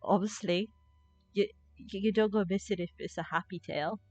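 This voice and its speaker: A woman's voice: medium pitch, normal speed, a little mellow, muffled recording, faint music behind. A woman giving casual, jokey live commentary as she plays a game, reacting aloud to it. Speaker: game streamer.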